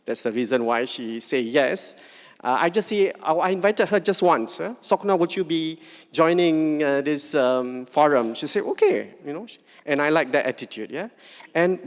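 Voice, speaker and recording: Middle-aged man, forum moderator, halting delivery, keeps asking "yeah?", echoey hall. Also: on the high side. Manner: hesitant, many fillers, checks in with "yeah?". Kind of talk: introducing a speaker at a public forum.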